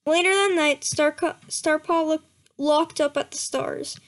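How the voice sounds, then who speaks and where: A woman's voice: high voice, unhurried. A young woman doing a comedic reading aloud, stumbling over words and breaking off for laughing asides and mock outrage. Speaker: reader. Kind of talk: comedic dramatic reading with commentary.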